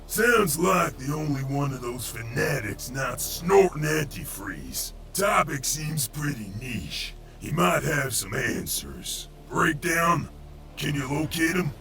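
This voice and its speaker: A man voicing a brash, sardonic robot soldier in an animated series, gravelly and slangy, with loud music underneath. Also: a little high, takes it slowly, far from the mic, heavily acted. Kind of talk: animated-series character acting.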